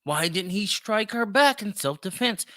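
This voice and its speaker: A man's voice: sits high, lively intonation, moderate pace, pushed and bright, no room sound, clean studio sound. A man hosting a solo opinion podcast, speaking emphatically and repeating phrases for effect. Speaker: podcast host.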